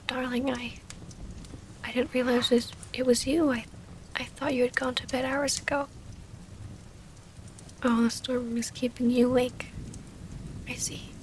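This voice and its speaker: A woman playing a gentle, formal vampire noblewoman and wounded lover in an intimate ASMR roleplay, speaking in a soft, breathy half-whisper. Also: high voice, background noise. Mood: gentle, reserved.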